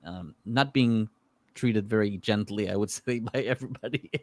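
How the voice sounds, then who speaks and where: A man's voice: fairly low pitch, moderate pace, dry recording, studio quality. A man born in Israel whose first language is Hebrew, chatting casually about martial arts films and telling rambling stories in English. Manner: relaxed, rambling storyteller with frequent fillers. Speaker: guest. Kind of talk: casual chat.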